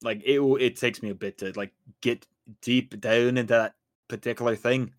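A man's voice: medium pitch, fairly even intonation, normal speed, no echo, studio quality. Chatty man hosting a podcast. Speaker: podcast host. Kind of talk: casual chat.

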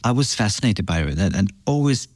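Middle-aged guy from france and belgium, low voice, dry close sound, chatty and reflective with lots of restarts. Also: big pitch swings, dry recording. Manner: reflective, halting, warm storytelling with many restarts. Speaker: fragrance brand founder, guest. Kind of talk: casual interview chat.

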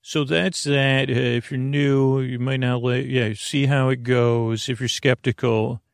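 A man hosting a bedtime podcast in a halting, meandering monologue with a creaky voice that drifts onto tangents. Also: medium pitch, level tone, no echo, clean studio sound. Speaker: podcast host.

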